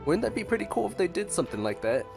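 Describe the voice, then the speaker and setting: A man's voice: sits high, big pitch swings, very fast, vocal fry. A man giving casual, playful reactionary commentary as he streams a game. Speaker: gameplay streamer. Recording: game music behind the voice.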